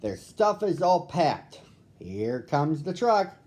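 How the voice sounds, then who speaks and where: A man reading a picture book aloud to children as a storyteller, with expressive phrasing and drawn-out words. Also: fairly high pitch, a little hiss or hum. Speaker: storybook reader.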